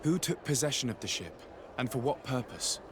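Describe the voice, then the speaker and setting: A man acts out a noble envoy in a video game. He is composed and courteous, with formal, measured speech in a mid-range voice. Clear outdoor noise sits behind him. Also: mid-range voice, very animated, lightly acted. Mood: probing. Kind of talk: video game cutscene acting.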